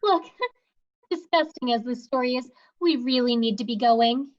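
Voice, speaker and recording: A woman's voice: a little high, normal speed, lo-fi sound, close to an everyday voice. A woman voicing a sarcastic, exasperated young female patient with a dry, dismissive manner.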